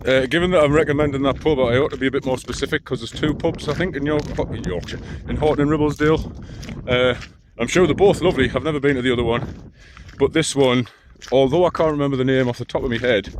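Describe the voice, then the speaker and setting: A man, talking in a deep, mumbling voice in a casual walking vlog outdoors, rambling with dry self-mocking asides. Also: noisy background. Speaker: long-distance hiker vlogger. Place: outdoors on a hill trail.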